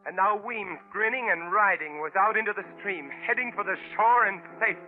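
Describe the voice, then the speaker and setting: A male actor playing a tense stranded adventurer, telling his jungle ordeal in a dramatic, deliberate old-time radio drama style. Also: sits high, lightly acted. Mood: breathless dread. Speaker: actor narrating and playing lead. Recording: tinny vintage radio broadcast with music behind.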